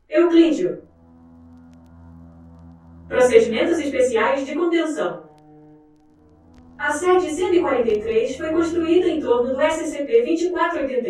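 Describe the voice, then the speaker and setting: A woman narrating a formal scripted document in a measured way. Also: high-pitched, a little mellow. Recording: very echoey, distant, faint music behind.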